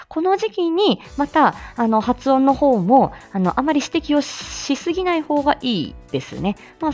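Lively woman hosting a chatty morning live show. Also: medium pitch. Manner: polite explanatory phrasing mixed with playful asides. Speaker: live audio show host; speech-language therapist. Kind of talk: casual morning live chat with announcements.

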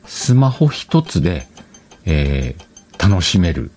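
A man's voice: sits low, sing-song, slow pace, darkish tone. A man hosting a casual solo podcast, chatting colloquially, rambling and correcting himself, on a dedicated microphone. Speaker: podcast host.

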